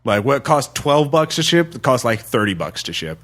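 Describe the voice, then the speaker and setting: A man with a medium-pitched voice hosts a casual podcast. His delivery is conversational and unscripted, halting and circling as he works toward each point. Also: very animated, fairly bright tone. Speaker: podcast host.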